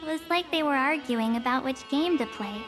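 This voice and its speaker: A woman playing a cheerful, sentimental young girl in a video game story, speaking softly and earnestly. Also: high voice, a little fast, close to an everyday voice. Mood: wistful, tender. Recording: music behind the voice.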